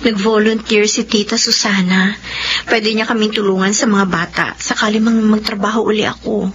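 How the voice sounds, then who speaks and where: A woman's voice: medium pitch, steady tone, moderate pace, fairly bright tone, lo-fi sound, slight background noise, close to an everyday voice. An actress with a Filipino accent playing a troubled young wife and mother, hurt yet loving, in a radio drama. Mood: worried.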